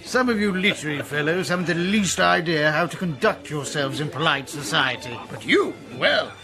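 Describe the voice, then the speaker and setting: Posh english duke, pompous and gushing, highish creaky voice, music behind him. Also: male voice, lively intonation, moderate pace. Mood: gracious, pompous. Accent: upper-class English. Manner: pompous, effusive. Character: hearty aristocratic English duke, condescending host. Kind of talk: period radio comedy drama acting.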